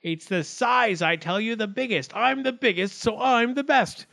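A man's voice: extremely high pitch, moderate pace, creaky, sharp tone, voice pushed up, exaggerated voice. A man voicing boastful little talking acorns in a storybook, argumentative, stubborn and insistent. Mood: indignant, boastful.

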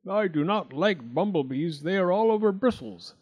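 Old male toad, greedy, rude, sing-song, high pitched, voiced by a male reader. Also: lively intonation, normal speed, a little mellow, husky. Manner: repeats sing-song phrases. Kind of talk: storybook character voice.

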